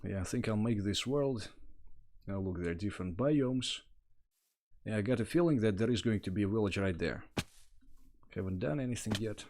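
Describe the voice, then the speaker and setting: A man's voice: on the low side, normal speed, slight background noise. A young man from Russia with a Russian accent, chatting casually to viewers while playing a game on a livestream. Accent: Russian, mixed with other influences. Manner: relaxed, thinks aloud while playing and thanks viewers. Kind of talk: casual gameplay livestream chat. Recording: faint music behind.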